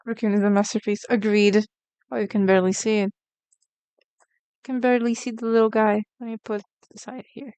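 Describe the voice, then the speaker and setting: Woman, casual streamer chat, smooth resonant voice, mid pitch. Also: little pitch movement, brisk, darkish tone, no room sound. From Brazil. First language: Portuguese. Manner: chatty, reacts to chat messages. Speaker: artist streamer. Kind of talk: casual live-stream chat while drawing.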